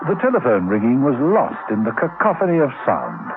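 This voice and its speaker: A male narrator tells a radio drama with wry theatricality and lively swings in pitch. The vintage recording is tinny, with loud music behind him. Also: a little fast, vocal fry.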